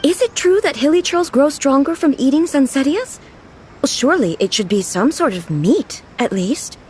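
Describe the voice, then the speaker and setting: Regal, earnest woman ruler, highish voice. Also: animated, darkish tone, a little hiss or hum. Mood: puzzled, earnest. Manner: formal, measured, earnest, literal-minded. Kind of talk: video game voice acting.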